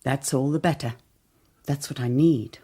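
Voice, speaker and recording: A woman's voice: rumbling low, normal speed, warm tone, slight background noise. An actress playing a troubled, earnest nun who confesses her doubts in a hesitant way.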